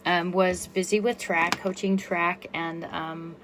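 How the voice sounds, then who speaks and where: Quiet-voiced woman chatting on her podcast. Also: fairly low pitch, flat delivery, takes it slowly, background noise. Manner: chatty, self-effacing, frequent ums and restarts. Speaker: podcast host and running coach. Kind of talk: casual solo podcast monologue.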